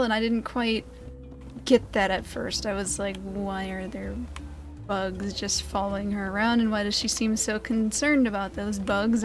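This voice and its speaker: A woman giving casual let's play commentary in a rambling, conversational way as host of a gaming video. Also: medium pitch. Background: game music.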